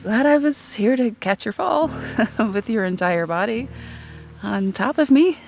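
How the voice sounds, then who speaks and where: A woman's voice: on the high side, expressive, a little slow, gravelly, a little mellow, soft background music. An actress playing a playful, flirty, adventurous woman on a date in a romcom audio drama, giggly and teasing. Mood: teasing, amused.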